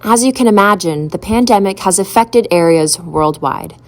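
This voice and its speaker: A student field reporter reading a scripted news segment in an upbeat way. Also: female voice, mid-range voice, normal speed, slight background noise.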